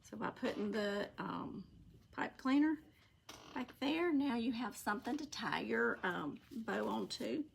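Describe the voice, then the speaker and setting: A middle-aged woman with a Southern US accent speaks in a raspy voice that crackles heavily with vocal fry. She is folksy and unhurried, like someone hosting a casual live craft tutorial. Faint noise sits behind her, picked up across a worktable at home. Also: on the high side, animated. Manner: folksy, unhurried, talking while working with her hands. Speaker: craft channel host. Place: indoor home craft room.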